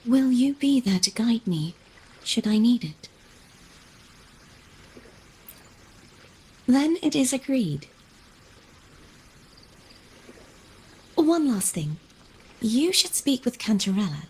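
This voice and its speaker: An actress playing an earnest, resolute young maiden knight in a video game, speaking in formal, measured phrases. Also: female voice, a little high, big pitch swings, a little mellow, slightly rough, heavily acted. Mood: thoughtful, gentle.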